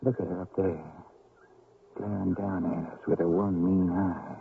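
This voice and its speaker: Gravelly, hot-headed backwoods young man. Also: low-pitched, little pitch movement, measured pace, heavily acted. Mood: hushed, wary. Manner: blunt, agitated, folksy rural speech. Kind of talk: radio drama acting. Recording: muffled old radio broadcast, faint noise.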